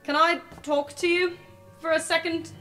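A woman's voice: very high-pitched, steady tone, normal speed, a bit bright, soft background music, pitched above the performer's own voice. A woman playing an awkward, apologetic young male student in a tabletop game, speaking hesitantly and earnestly. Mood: nervous, apologetic. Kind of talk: improvised tabletop roleplay.

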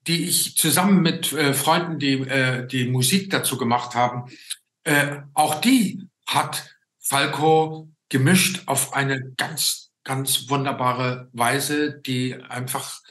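Slow, bright older man, roomy sound. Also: medium pitch. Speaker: host. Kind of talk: casual video-call interview. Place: room indoors, on a video call. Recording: roomy, echoing video-call sound.

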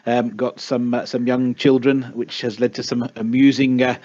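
A man's voice: medium pitch, little pitch movement, normal speed, a little mellow. A middle-aged man from the UK with a British accent, answering questions over voice chat with many restarts and fillers. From United Kingdom. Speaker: spokesman of an advocacy group. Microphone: voice chat mic. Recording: dull lo-fi voice chat.

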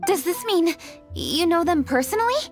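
An actress playing a serious, dutiful young official in a fantasy game, speaking in a formal, composed and polite way. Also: female voice, very high-pitched, animated, normal speed, soft background music. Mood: curious, polite. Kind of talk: scripted fantasy game dialogue.

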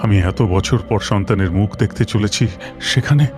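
A man's voice: fairly low pitch, moderate pace, close to an everyday voice. A man voicing a protective, emotional king and father in a cartoon fairy tale, with stately dramatic delivery. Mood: anxious. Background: music.

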